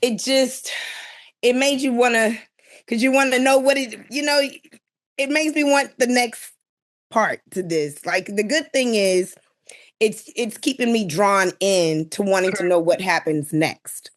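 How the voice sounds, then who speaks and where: A woman's voice: on the high side, a little slow. Colloquial woman chatting as a panelist. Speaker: podcast panelist. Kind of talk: casual chat.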